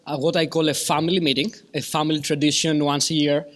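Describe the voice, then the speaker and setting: Greek-accented man, session chair, effusive and rambling, slightly higher voice. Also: little pitch movement, quick pace, a bit bright. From Greece. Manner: effusive, rambling, with many fillers and restarts. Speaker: session chair at a scientific meeting. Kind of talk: conference introduction speech.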